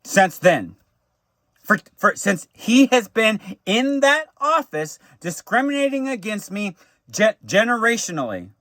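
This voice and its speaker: An American man giving an unscripted personal monologue in a halting, repetitive way, with stammered restarts and emphatic drawn-out phrases. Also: high-pitched, very animated, sharp tone, no echo. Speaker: vlogger recounting personal grievances.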